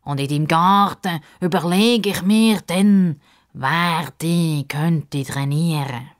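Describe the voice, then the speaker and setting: A woman voicing a pompous, grumbly male crocodile who talks in a ponderous, drawn-out way in a children's story. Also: deep voice. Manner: ponderous, drawn-out, self-important speech.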